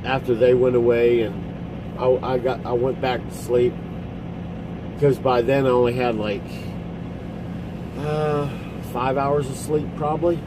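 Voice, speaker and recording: A middle-aged man, a truck driver, talking casually to his phone camera from the cab of his parked truck, rambling and halting as he explains. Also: medium pitch, little pitch movement. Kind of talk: casual vlog commentary. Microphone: phone camera at arm's length. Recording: phone recording in cab with engine noise.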